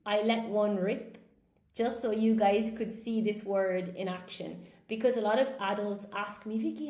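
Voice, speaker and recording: A middle-aged woman English teacher chatting casually with viewers, reading their comments and telling stories in an animated way. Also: fairly high pitch, reverberant. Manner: animated storytelling with laughs and acted quotes.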